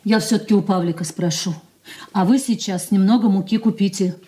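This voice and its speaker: An actress in a Soviet radio drama playing a sharp-tongued, calculating young married woman, dry and needling. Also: mid-range voice, a little fast, close to an everyday voice. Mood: grumbling, calculating.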